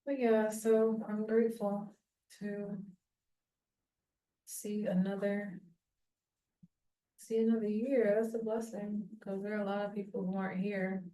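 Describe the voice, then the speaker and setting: A woman in her thirties chatting casually while doing her hair, meandering and self-deprecating, thinking out loud as she goes. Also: mid-range voice, flat delivery, measured pace, pauses often. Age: 30s. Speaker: small-business vlogger. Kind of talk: rambling casual chat. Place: home bathroom. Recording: echoey bathroom, voice far from mic.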